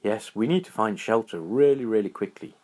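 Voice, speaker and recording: A man's voice: on the low side, a little fast, darkish tone, natural voice. A man voicing a friendly, brave and loyal young-hearted adventurer in a children's bedtime story, speaking earnestly in simple exclamations. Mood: urgent.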